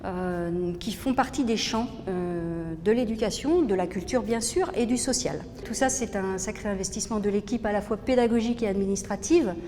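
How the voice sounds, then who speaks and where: A woman explaining in an interview, pausing often with hesitant ums as she presents her work. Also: warm tone. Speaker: music school representative. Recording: very reverberant room, faint rumbling noise.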